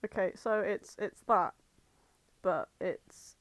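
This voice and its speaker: A young British woman co-hosting a podcast, chatting casually and comically, telling stories in an animated way and acting out bits of dialogue. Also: medium pitch, slow pace, no room sound. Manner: animated storyteller, acts out imagined dialogue. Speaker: podcast co-host. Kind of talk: casual comedic chat.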